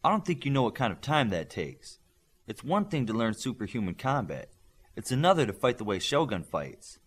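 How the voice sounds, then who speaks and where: A man's voice: medium pitch, very animated, moderate pace, husky. A man reading an audiobook as a stern male combat coach, firm, blunt and demanding. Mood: skeptical, stern. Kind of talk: audiobook character dialogue.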